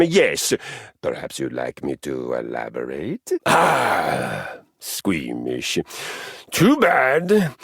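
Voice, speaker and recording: Game role: a pompous, fight-hungry male Viking exercise machine; low, husky, gravelly, slow and booming. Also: fairly bright tone, no echo, clean studio sound, exaggerated voice. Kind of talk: video game voice acting.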